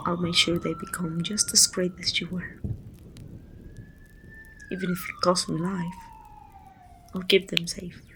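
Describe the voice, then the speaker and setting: A woman from Mexico playing a weary, bittersweet supervillain in an ASMR roleplay, breathy and often near a whisper, with slow, deliberate phrasing. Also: on the low side, flat delivery, noisy background. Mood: grieving, resolved. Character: weary, bittersweet female supervillain confronting a dying rival.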